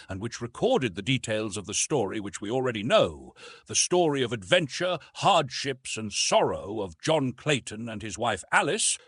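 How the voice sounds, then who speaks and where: A man's voice: mid-range voice, a bit bright, slightly rough, no room sound. A man giving scripted audiobook narration of a classic adventure novel.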